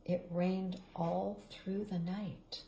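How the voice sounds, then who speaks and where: Expressive woman reading a storybook aloud. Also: on the low side, extremely slow, vocal fry, a little hiss or hum. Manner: expressive, dramatic rises and hushed, whispered endings. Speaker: storybook reader. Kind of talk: reading a picture book aloud. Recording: roomy echo.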